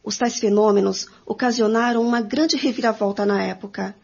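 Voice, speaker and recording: A woman's voice: medium pitch, steady tone, fairly quick, creaky, darkish tone, breathy edge. A woman reading scripted narration for a radio drama. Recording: dull lo-fi audio.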